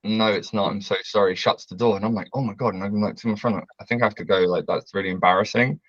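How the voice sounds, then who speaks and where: A man, telling a story as a guest on a video call, loud and brash, laughing often. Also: lowish voice, fairly even intonation, fast talker, no room sound, muffled recording. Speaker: interview guest. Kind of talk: casual storytelling. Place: bright home room with large windows.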